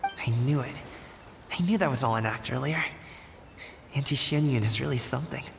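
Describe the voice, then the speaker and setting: A man's voice: mid-range voice, moderate pace, creaky, noisy background, lightly acted. An actor playing an earnest, humble young man in a game, with music underneath. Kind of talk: game voice acting. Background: game background music.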